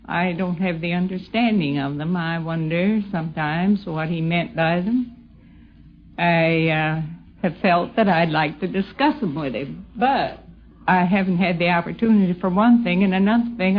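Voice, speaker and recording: An older woman reminiscing in an interview on a 1950s radio broadcast. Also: fairly low pitch. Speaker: interviewee, hometown acquaintance. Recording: dull 1950s radio recording.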